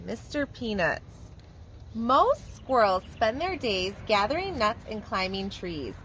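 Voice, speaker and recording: A preschool teacher reads a picture book to young children with warm, expressive storytelling. Her voice sits fairly high and swoops up and down a great deal. A faint hiss runs underneath. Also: takes it slowly.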